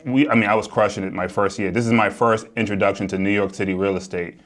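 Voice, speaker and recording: American male, low-pitched voice, real estate developer presenting his working day in a casual vlog register. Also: steady tone, quick pace. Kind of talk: casual explanatory vlog talk.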